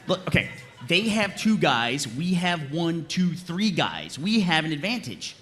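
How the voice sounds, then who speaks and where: A man playing an exasperated, bossy squad leader in an animated comedy table read, argumentative and emphatic. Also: fairly high pitch, measured pace, soft background music. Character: exasperated, bossy male soldier leading a squad.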